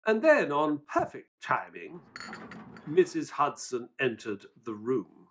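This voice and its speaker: Polite, animated Victorian doctor. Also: male voice, medium pitch, takes it slowly, slight background noise. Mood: narrating. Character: affable, polite Victorian doctor, detective's companion, narrating. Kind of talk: audio drama narration and dialogue.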